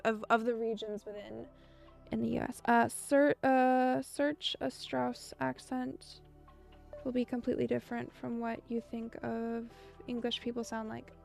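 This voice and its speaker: A woman's voice: fairly high pitch, flat delivery, measured pace, gravelly, faint music behind. A young American woman chatting casually with viewers. She speaks in a meandering, thoughtful way and laughs often. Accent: General American. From United States. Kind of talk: casual chat.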